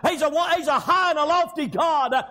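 Male preacher, very high strained pitch, impassioned revival sermon, shouted exhortations, frequent amens. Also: a little fast. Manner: punctuates phrases with amen and praise God. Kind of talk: fervent revivalist church sermon.

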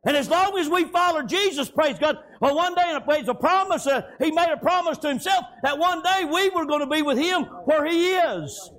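A male revivalist preacher delivers a fervent sermon. His voice sits extremely high for a man as he exhorts and shouts, dropping amen and praise God between phrases. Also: normal speed.

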